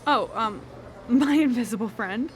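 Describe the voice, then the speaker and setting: A woman's voice: a little high, measured pace, close to an everyday voice. An actress in a radio drama playing a blunt, seductive, worldly woman on a date. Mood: brash, wry. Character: brash, seductive woman, worldly former Vegas dancer on a date.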